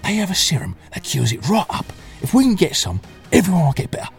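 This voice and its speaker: A man playing an urgent young hacker from a slum in an audio drama, bringing news in a halting, hopeful way. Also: sits high, wildly swooping pitch, creaky, soft background music, full character voice.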